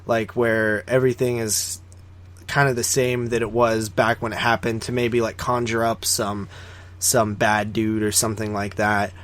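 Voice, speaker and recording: A man's voice: lowish voice, monotone, moderate pace, a bit bright. Casual male podcast co-host. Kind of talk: casual film review chat.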